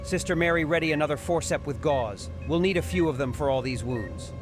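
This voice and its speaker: A man's voice: a little high, a little fast, over music, lightly acted. A man playing a methodical, authoritative hospital doctor who gives clipped instructions in a horror audio drama. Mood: focused, commanding.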